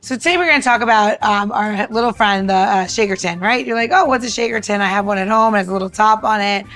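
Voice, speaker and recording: A woman's voice: mid-range voice, fast, a bit bright. A woman co-hosts a podcast in a casual, chatty way. Her voice sits in the middle range and she is blunt and self-mocking as she shares tips. Faint music plays behind her. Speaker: podcast co-host. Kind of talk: casual banter and cocktail tips.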